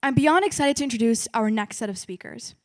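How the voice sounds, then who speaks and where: A woman's voice: a little high, a little fast, no echo, studio quality. A woman emceeing an event, reading polished scripted introductions of speakers.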